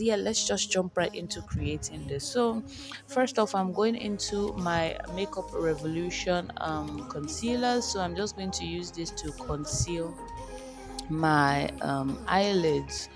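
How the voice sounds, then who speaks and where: Woman talking over music. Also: on the low side. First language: Igbo. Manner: casual, hesitant with fillers. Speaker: beauty vlogger. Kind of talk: step-by-step makeup tutorial.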